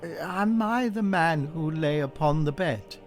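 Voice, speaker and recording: A man's voice: a little high, expressive, slow pace, soft background music, voice pushed up. A man voicing an anguished, repentant old miser in a storybook reading, imploring fervently in formal old-fashioned speech. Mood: desperate pleading.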